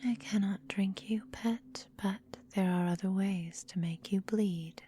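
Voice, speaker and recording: Woman playing a slow, whispering, possessive vampire mistress. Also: medium pitch, steady tone, dark tone, a little hiss or hum, lightly acted. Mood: cold, simmering anger. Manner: slow, breathy, deliberate, coolly domineering. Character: possessive, menacing female vampire mistress, calm but simmering. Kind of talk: whispered ASMR roleplay.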